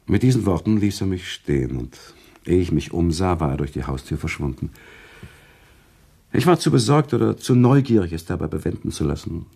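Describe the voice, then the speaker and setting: Thoughtful male storyteller, radio play narration. Dark husky voice, lively intonation, deliberate, sympathetic. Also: low voice, full character voice. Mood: engaged.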